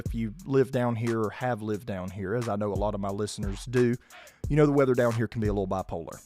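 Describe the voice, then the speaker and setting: A man, hoarse and losing his voice, hosting a casual sports podcast and keeping his volume down. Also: medium pitch, a little mellow. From South Georgia, US. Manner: restrained, holding his volume down. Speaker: podcast host.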